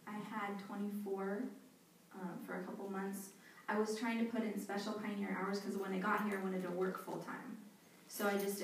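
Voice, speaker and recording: A young woman answering interview questions in a chatty, animated way, laughing often and leaning on casual fillers. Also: mid-range voice. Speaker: interviewee, volunteer back from abroad. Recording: echoey hall, distant mic, faint noise.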